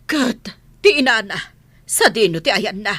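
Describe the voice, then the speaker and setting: A woman's voice: mid-range voice, lively intonation, measured pace, fairly bright tone. A woman playing an exasperated, reproachful family matriarch in a radio drama, with an Ilocano accent. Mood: concerned. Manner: reproachful, emphatic.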